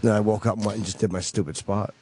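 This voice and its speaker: A man's voice: on the low side, steady tone, racing, warm tone, a little hiss or hum. A man co-hosting morning radio, tired and self-deprecating, stumbling over repeated words. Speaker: comedian, radio co-host. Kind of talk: casual radio banter. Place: radio studio.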